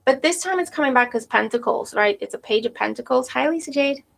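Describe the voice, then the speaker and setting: A woman's voice: high-pitched, animated, fairly quick, creaky. A woman giving a live tarot reading to viewers, losing her voice with a cold, thinking aloud and often checking in with "right?". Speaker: tarot reader.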